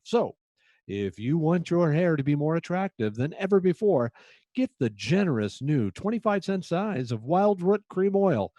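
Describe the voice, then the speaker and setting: A man acting a vintage radio pitchman, fairly high and mellow, with lively swings of emphasis. The delivery is polished and earnest, dry and close over faint music. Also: no room sound, lo-fi sound. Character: earnest male sponsor announcer on vintage radio show. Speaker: announcer. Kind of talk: 1940s radio commercial announcing.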